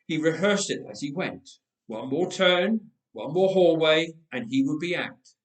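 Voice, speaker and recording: A man reading a dramatic story aloud with measured, emphatic delivery and dramatic pauses. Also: on the high side, animated. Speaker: storyteller, reader. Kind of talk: dramatic scripted story narration. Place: roomy church interior. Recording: echoey church, distant microphone.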